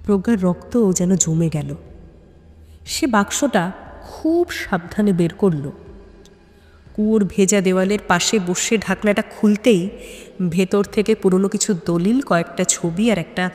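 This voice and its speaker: A woman delivers dramatic suspense narration for an audio story. She phrases it in measured pieces with tense pauses. Her voice carries a long, hall-like reverb. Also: medium pitch, a little mellow.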